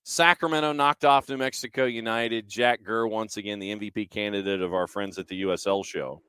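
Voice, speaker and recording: Male sports show host, fairly deep, clipped recap delivery, wry asides, very little room sound. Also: steady tone, fairly quick, a bit bright, clean tone, no room sound. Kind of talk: casual sports talk, recapping results.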